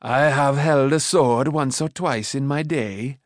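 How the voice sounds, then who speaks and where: A man as a gruff, kindly old smith. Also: medium pitch, slow, no echo, clean studio sound, close to an everyday voice. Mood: amused. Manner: instructive, wry, commanding. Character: stout, bald old smith, gruff but kindly mentor. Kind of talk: storybook character voice.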